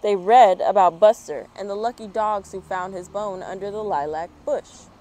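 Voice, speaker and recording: A woman hosting a children's story time, reading and chatting in an expressive manner. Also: medium pitch. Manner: expressive, spells words out slowly. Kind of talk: children's picture book read-aloud. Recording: clear background noise.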